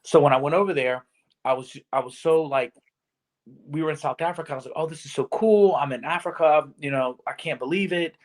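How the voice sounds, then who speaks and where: A man's voice: fairly high pitch, brisk. A man from Virginia in his forties, a podcast guest telling travel stories in casual talk and acting out what people said. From Virginia, USA. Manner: storytelling, animated, acts out quotes. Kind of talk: casual conversation.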